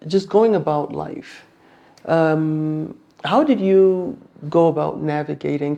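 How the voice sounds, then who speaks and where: A woman hosting a podcast, asking questions gently and with empathy. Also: low-pitched, creaky. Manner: gentle, empathetic, lots of listening sounds. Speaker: podcast host. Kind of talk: interviewing.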